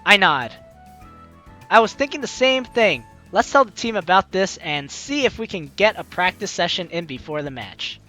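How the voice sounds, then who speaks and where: Bright, animated woman doing game commentary. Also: lowish voice, moderate pace. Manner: expressive, playful, slangy. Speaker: let's play host. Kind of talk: casual game commentary and reading dialogue aloud. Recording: faint music behind the voice.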